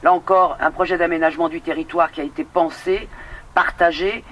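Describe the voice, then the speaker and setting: A man with a somewhat high voice speaks as a local official. His remarks are unscripted, yet the phrasing is formal and administrative. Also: steady tone, brisk, a bit bright, slight background noise.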